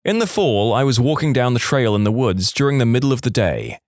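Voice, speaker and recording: A man's voice: mid-range voice, sing-song, fairly quick, dry recording, clean studio sound. A man reading scripted online stories as a narrator, acting out the quoted speech.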